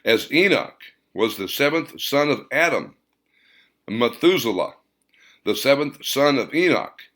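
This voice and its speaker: A man's voice: medium pitch, sing-song, a little slow, a bit bright, pitched above the performer's own voice. A man voicing a solemn, otherworldly male spirit in an audiobook, speaking formally and reverently. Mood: solemn prophecy. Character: solemn male spirit voice inside a wave of energy.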